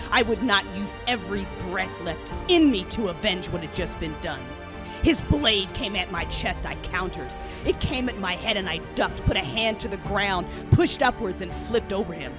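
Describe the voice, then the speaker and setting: A woman's voice: medium pitch, natural voice. A woman playing an anguished, rage-consumed Jedi warrior, narrating an audio drama in the first person with intense emotion. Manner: intense, emotive, shifting from hushed to roaring.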